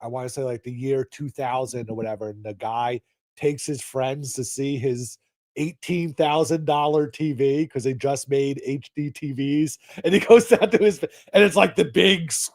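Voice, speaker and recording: A man's voice: mid-range voice, moderate pace, a little mellow, dry recording, clean studio sound. A man co-hosting a podcast in a casual, conversational style, reacting to his partner. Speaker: podcast co-host. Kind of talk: casual chat.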